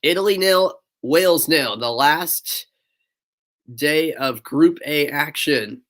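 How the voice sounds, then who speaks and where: A man's voice: on the high side, slow pace. Stuffy-nosed man calling live play-by-play. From northeastern United States. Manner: steady play-by-play, casual chat with viewers. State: stuffy nose. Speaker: play-by-play commentator. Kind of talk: live amateur sports commentary.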